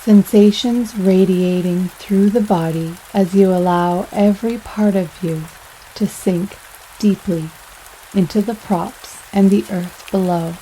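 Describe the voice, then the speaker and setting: A woman guides a meditation at a very slow pace, leaving space between her phrases. Her tone is mellow and relaxed, with a soothing delivery. Steady rain sounds run behind her voice. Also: mid-range voice, a little hiss or hum, low-quality recording. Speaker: yoga nidra guide.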